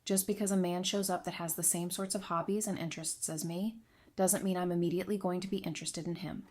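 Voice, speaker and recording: A woman's voice: lowish voice, steady tone, fast talker, a little mellow, lightly acted. An actress playing a candid, dry-witted woman adviser in an audio drama, talking in a frank, conversational way. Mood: defensive, annoyed. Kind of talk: fan audio drama acting.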